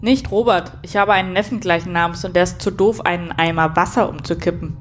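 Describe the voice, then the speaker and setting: A woman's voice: fairly low pitch, fairly even intonation, fairly quick, a little hiss or hum, lightly acted. Woman playing a sardonic, irritable station captain. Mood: exasperated. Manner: blunt, sarcastic, exasperated. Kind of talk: scripted sci-fi audio drama.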